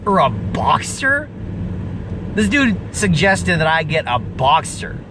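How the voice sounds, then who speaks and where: Man, 20s, mid-pitched, swearing, emphatic car-vlog rant, distant mic outside with clear traffic noise. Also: very animated, slow. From US Pacific Northwest. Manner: profane, emphatic, repeats himself, laughs at own jokes. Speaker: car vlogger. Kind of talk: casual ranting vlog.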